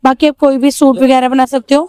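Brief: high, dry-sounding female shopping vlogger, chatty, repeats prices, outdoor market. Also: level tone, fairly quick, a little mellow, clean tone, no echo. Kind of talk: market walkthrough vlog.

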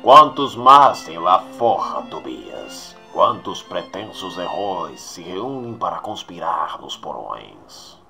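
Man playing slow, deep, sneering villain tyrant. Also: sing-song, vocal fry, pushed and bright, exaggerated voice. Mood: smug menace. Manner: theatrical, mocking, slow menace. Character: sneering, gloating villain tyrant, a masked skull-faced supremacist ruler. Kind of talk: motion comic fan dub acting. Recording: music under the voice.

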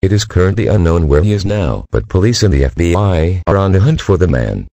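A TV news anchor with a low, dark, husky voice reading breaking news. His delivery is formal and lively in pitch, close and dry with no room sound. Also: brisk, no room sound, full character voice. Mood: grave. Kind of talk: mock TV news broadcast.

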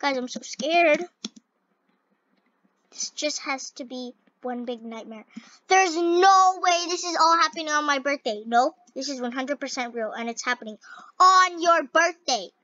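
A young girl with a high, bright and slightly scratchy voice hosts a gaming video. She reads on-screen dialogue haltingly and reacts excitably, at a slow pace. The recording is close but dull. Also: dry recording. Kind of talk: gameplay commentary, reading on-screen dialogue aloud.

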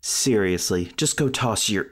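A man's voice: on the low side, lively intonation, measured pace, a bit bright. A man playing a haughty, contemptuous demon bully's sidekick in an audio roleplay. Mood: contemptuous disgust.

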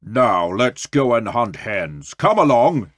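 A stern papa wolf from a storybook, acted by a woman, bossy and scornful. The voice drops very deep and moves slowly, with big swings in pitch. He scolds gruffly. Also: sing-song, dry recording, exaggerated voice. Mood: commanding.

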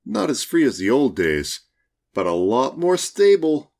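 A man reads a scripted short story in a wry, expressive way. His mid-pitched voice moves up and down a great deal as he tells it. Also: a little slow. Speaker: audiobook narrator.

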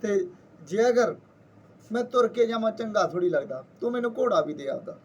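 A man's voice: high voice, lively intonation, fairly quick, breathy edge, muffled recording. A man with a Punjabi accent voicing a sly, artful trickster who feigns innocence, in an informally told folktale. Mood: stubborn, sly. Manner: colloquial, bargaining, artful.

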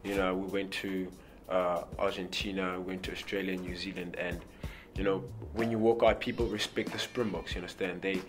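A young South African man with a very low voice gives a relaxed, rambling interview answer, stumbling over repeated words and leaning on "you know". He sits in front of a press backdrop. Clear music plays under his voice throughout. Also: a little fast, few pauses. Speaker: rugby player.

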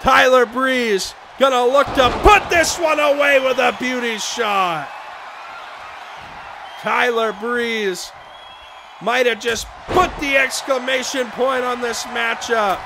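A man losing his voice, shouting excited play-by-play for a wrestling video game. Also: very high-pitched, slow, gravelly. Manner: shouting excitedly over the action. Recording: game crowd noise behind voice. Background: video game arena crowd and sound effects.

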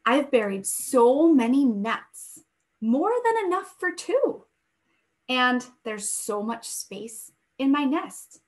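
Excitable little squirrel guy , a lady doing the voice, bouncy and earnest, high and swoopy. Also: slow pace, exaggerated voice. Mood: eager. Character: eager, playful male squirrel.

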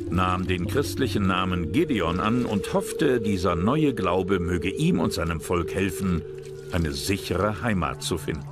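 A man reading scripted historical documentary narration in a measured, dramatic storytelling manner. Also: low voice. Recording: prominent music behind narration.

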